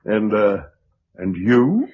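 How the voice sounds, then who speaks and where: An actor playing an officious British colonel in a radio drama, clipped, formal and bureaucratic. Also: male voice, on the low side, very animated, slow pace. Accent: British English. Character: fussy, officious military colonel taking particulars. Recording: muffled radio drama recording, faint noise.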